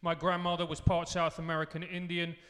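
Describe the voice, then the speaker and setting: A man with a high, bright and sharp voice whose first language is Spanish tells an animated story on stage and plays out both sides of each quoted exchange. Behind him the hall rings with a long reverb. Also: fairly quick. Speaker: comedian guest. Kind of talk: live on-stage anecdote. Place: live venue stage before an audience.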